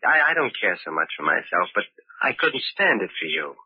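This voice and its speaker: A man's voice: on the low side, big pitch swings, brisk, husky, no room sound, full character voice. An actor playing a weak, fearful young husband cowed by his father, hesitant, stammering and pleading in an old radio drama. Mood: anxious, despairing. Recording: muffled old radio broadcast recording.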